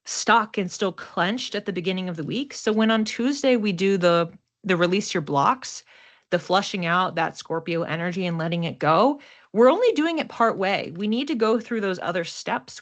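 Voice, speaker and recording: A woman's voice: mid-range voice, a bit bright. Woman giving an informal card reading. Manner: conversational, repeats and lists words for emphasis. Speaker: host, oracle card reader.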